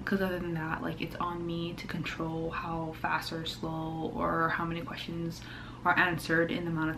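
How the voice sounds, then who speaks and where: A woman's voice: lowish voice, a little hiss or hum, rough recording. A woman in her twenties from Hawaii, a dental student chatting casually in her vlog with lots of fillers. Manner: chatty, upbeat, many fillers like "um" and "like". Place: home, indoors.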